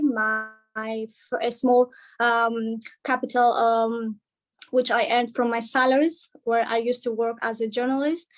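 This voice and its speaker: Businesswoman guest, high-pitched, halting and filler-heavy delivery. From Greece. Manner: hesitant, many fillers and restarts. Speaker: entrepreneur guest. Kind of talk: online panel introduction.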